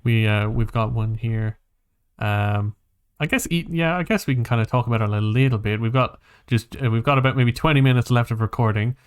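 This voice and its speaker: A man's voice: mid-range voice, fairly quick, clean tone. A man hosting a casual podcast about games and films, thinking aloud in a hesitant, rambling way. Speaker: podcast host.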